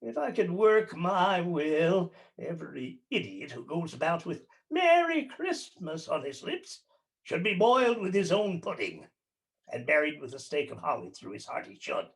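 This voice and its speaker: A man's voice: a little high, sing-song, measured pace, gravelly, heavily acted. An actor playing a bitter, miserly old man in a radio play, snarling his lines in a grating, scornful voice.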